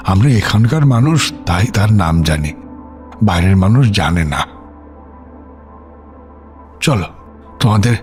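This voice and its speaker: A man acting an elderly village grandfather in an audio drama, speaking as a reflective, rhetorical storyteller over music and crickets. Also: medium pitch. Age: older. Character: elderly village grandfather recounting forgotten freedom fighters. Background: music bed with cricket sounds.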